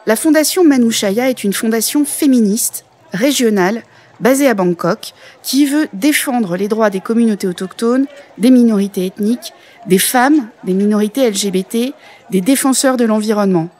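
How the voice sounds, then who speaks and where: A woman's voice: a little high, moderate pace, a little mellow. A woman with a standard metropolitan French accent reading scripted documentary narration as a podcast journalist. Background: music bed.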